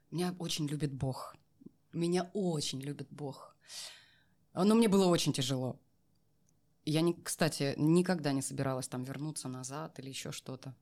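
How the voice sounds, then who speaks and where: Female interview guest, low register. Candid, reflective personal storyteller who leans on key phrases. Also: a little mellow. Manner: reflective, emphatic, repeats phrases for weight. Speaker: interview guest, voice artist and former TV and radio presenter.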